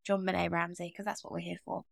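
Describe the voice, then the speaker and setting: A woman's voice: fairly low pitch, little pitch movement, fast talker, creaky, no echo. A woman in her thirties with a British accent co-hosts a podcast in a casual, chatty style. She likes to tease. The sound is close and dry. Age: 30s. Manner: teasing, chatty. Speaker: podcast co-host. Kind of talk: casual banter and true crime discussion.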